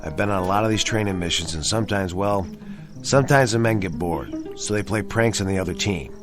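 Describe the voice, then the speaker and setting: A man's voice: deep voice, a little fast, music in the background, lightly acted. A man playing a firm, reassuring, commanding National Guard sergeant in a radio drama.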